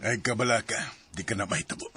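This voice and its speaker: A man's voice: fairly low pitch, quick pace, heavy background noise, close to an everyday voice. A man playing a soothing, evasive father. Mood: reassuring. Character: father, separated from his wife, evasive.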